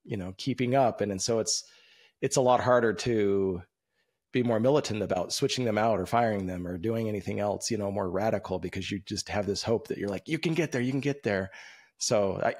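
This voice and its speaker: Relaxed man hosting a video call. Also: fairly low pitch, a little fast. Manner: relaxed, conversational, reflective. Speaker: podcast host. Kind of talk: casual video-call interview. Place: home room with bookshelves. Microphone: video call, webcam.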